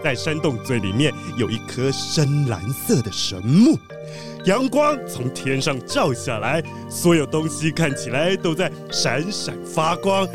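A man playing a polite, excitable treasure collector in a children's audio drama, with a dramatic storytelling manner. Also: fairly high pitch, a little slow, music in the background. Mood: wondrous storytelling.

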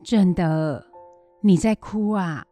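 A woman's voice: fairly low pitch, slow, over music. A woman acting a caring, self-sacrificing old grandmother in a radio drama, with a Taiwanese Mandarin accent. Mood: consoling.

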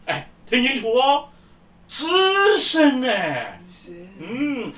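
Smug pompous longtime believer, voiced by a woman, slow swooping emphasis, radio drama, roomy distant sound. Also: on the high side, very animated, slight background noise, full character voice. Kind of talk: scripted Mandarin radio drama acting. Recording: roomy echo, distant from microphone.

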